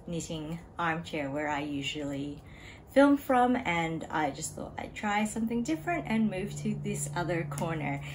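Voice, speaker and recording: Slow-talking woman knitting vlogger. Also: fairly low pitch, a little hiss or hum, poor audio. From Australia. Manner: relaxed, chatty, frequent ums and small laughs. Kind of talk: casual chat to camera. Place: home room beside a desk.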